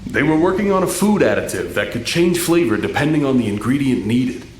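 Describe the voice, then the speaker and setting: A man voicing a principled, pleading male mutant in an animated production. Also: medium pitch, a little fast, echoey room, a little hiss or hum, natural voice, low-quality recording. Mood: earnest, firm. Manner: earnest, emphatic.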